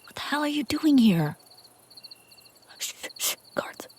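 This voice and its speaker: A woman's voice: sits high, very animated, slow pace, a little hiss or hum, exaggerated voice. A woman playing a brusque, sardonic but secretly caring female bounty hunter in a scripted fantasy audio roleplay, speaking straight to the listener. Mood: urgent, hushed. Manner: sarcastic, teasing, often dropping to hushed urgency.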